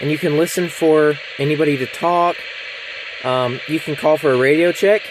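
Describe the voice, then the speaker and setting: A man's voice: on the high side, normal speed, background noise. A man narrating a casual how-to video, explaining each step in a plain-spoken, informal way. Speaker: vlogger presenting a DIY tutorial.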